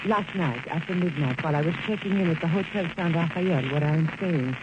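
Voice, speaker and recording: An actress playing a melodramatic, coy Mexican femme fatale with a Spanish accent in 1940s radio drama style. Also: female voice, low-pitched, a little fast, lightly acted. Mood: coy, guarded. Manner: coy, theatrical, formal phrasing. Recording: dull, noisy old-time radio recording.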